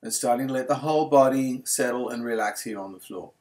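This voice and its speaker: Calm male yoga nidra guide. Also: mid-range voice. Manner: calm, soothing, unhurried with gentle phrasing. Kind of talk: guided relaxation meditation instruction.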